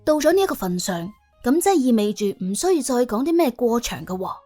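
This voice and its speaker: A woman narrating an audiobook in colloquial Cantonese, telling the story in a wry, dramatic, expressive way. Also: fairly high pitch, quick pace, soft background music.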